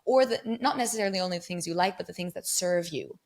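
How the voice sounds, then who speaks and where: A woman with a slightly low-pitched voice talks through ideas patiently and thoughtfully, building each point step by step. She speaks as a guest in a relaxed conversation and now and then laughs lightly. Her pitch sits a little below the usual for a woman. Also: fast. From England and Germany. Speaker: guest researcher on migrant mental health. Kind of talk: conversational explaining.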